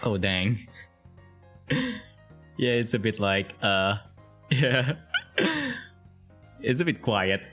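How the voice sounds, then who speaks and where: A man's voice: lowish voice, extremely slow, long pauses, a bit bright, soft background music. A man hosting a casual interview stream, laughing often and filling his talk with "like" and "uh". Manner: giggly, filler-heavy, lots of "like". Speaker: interview show host. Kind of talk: casual chat over a video call.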